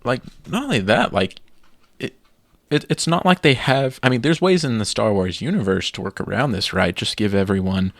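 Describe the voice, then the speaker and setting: A man co-hosting a casual gaming podcast, telling his gaming stories in an animated way and acting out his own reactions. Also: fairly low pitch, normal speed, gravelly, dry recording. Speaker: podcast co-host.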